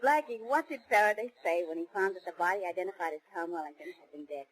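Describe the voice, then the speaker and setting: A woman's voice: fairly low pitch, animated, quick pace, natural voice, low-quality recording. A woman playing a sleuth's affectionate, curious girlfriend in a 1940s radio crime drama, bantering lightly. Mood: curious, teasing. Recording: restored 1940s radio broadcast.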